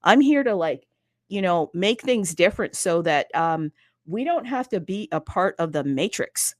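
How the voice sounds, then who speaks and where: A woman hosting a casual chat about crypto. She is bubbly and excitable and talks fast in bursts. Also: lowish voice, no room sound, studio quality. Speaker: host.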